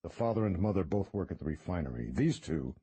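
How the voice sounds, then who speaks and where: Man playing a quiet, steely priest. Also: deep voice, animated, slightly rough, no echo, exaggerated voice. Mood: cold. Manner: quiet, measured, contemptuous. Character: calm, steely male priest.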